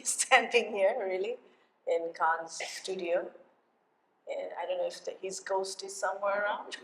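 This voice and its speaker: A middle-aged woman from Bangladesh, giving a lecture as a guest architect and talking in a halting way, with many fillers and restarts. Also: medium pitch, slight background noise. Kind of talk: lecture to a live audience. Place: lecture hall. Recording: echoey lecture hall.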